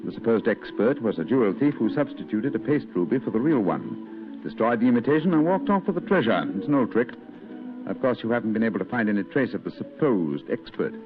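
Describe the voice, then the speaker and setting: A man acts as a composed gentleman detective in a vintage radio play. His delivery is courteous and precise, moving quickly with animated swings in pitch. The sound is thin and tinny, with music playing behind him. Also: close to an everyday voice. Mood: assured, intrigued. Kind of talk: old-time radio drama acting.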